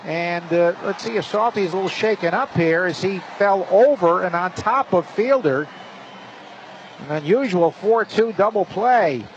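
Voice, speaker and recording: Higher-pitched man calling baseball play-by-play. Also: a little slow. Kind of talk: live radio baseball commentary. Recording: slightly dull broadcast, faint stadium noise.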